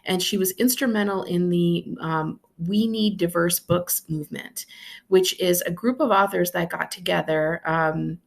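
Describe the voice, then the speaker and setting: An American woman, a school librarian, introducing a book and reading it aloud in an expressive storybook style. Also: on the low side, unhurried, gravelly.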